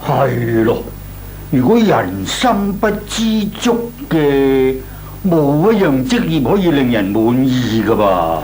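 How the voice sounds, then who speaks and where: Slow, lilting old Cantonese gentleman. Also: medium pitch, big pitch swings, poor audio. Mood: measured, advising. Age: older. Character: kindly, measured old gentleman, advice-giving elder. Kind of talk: old Hong Kong radio drama acting. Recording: old radio recording, faint noise.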